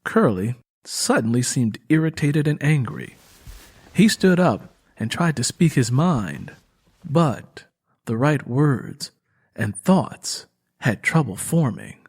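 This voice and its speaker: A man's voice: mid-range voice, darkish tone. A man reading scripted, dramatic fiction as an audiobook narrator.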